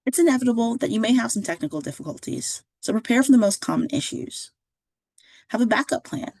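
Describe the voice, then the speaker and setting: A woman reading a scripted instructional narration as a career services presenter, in a clear, measured, instructive way. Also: fairly high pitch, expressive, darkish tone, no echo.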